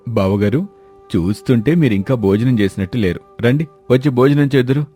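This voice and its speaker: A man's voice: medium pitch, animated, fairly quick, dark tone, husky, soft background music. A man voicing a considerate bride's father who speaks courteously and soothingly to his relatives. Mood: conciliatory. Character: considerate, conciliatory brother-in-law, father of the bride.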